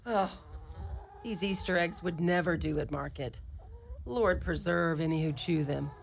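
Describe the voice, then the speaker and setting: A woman's voice: lowish voice, unhurried. A woman playing a poor, guilt-tripping old mother in a radio play, with melodramatic, old-fashioned speech. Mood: dry, grim. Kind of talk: live radio play acting. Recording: live studio recording, clearly noisy ambience.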